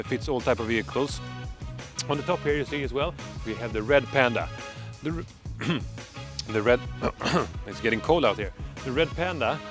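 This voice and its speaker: Swedish-accented middle-aged man, mid-pitched, eager product pitch with fillers, outdoors at night, faint music behind. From Sweden. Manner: enthusiastic salesman, halting with fillers. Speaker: product presenter. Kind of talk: product demonstration vlog. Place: dark countryside road at night, outdoors.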